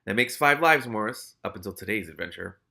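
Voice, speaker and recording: A man's voice: on the low side, animated, a little fast, gravelly, pushed and bright, husky, full character voice. A man playing a tall, solemn, dryly puzzled skeletal reaper in a storybook reading, with formal, matter-of-fact speech. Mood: stern, matter-of-fact.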